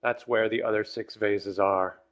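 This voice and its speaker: A man's voice: on the low side, fairly even intonation, unhurried, muffled recording, lightly acted. An actor playing a defiant young male prisoner in agony, strained and emphatic in a dramatised audiobook. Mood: agonised, yielding. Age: young adult.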